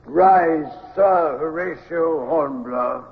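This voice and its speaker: A man's voice: on the high side, slow, over loud music. An actor playing a pompous, declamatory male dignitary in an old-time radio drama. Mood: ceremonial. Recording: dull old radio recording.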